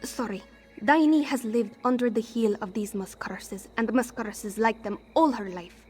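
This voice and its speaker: A woman's voice: a little high, normal speed, a little mellow, breathy edge, soft background music. A woman voicing an anxious, street-hardened female cat-folk thief in a fantasy game, defensive and speaking in formal, contraction-free phrasing. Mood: bitter. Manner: refers to herself in third person, formal contraction-free phrasing. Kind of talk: fantasy game character dialogue.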